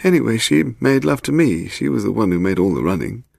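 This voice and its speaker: High-pitched, fussy gent. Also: male voice, sing-song, a little fast, gravelly, a little mellow, no echo, studio quality, heavily acted. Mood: defensive. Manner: plaintive, prim, protesting. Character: fussy, nervous, bald upper-class Englishman, indignant and wounded.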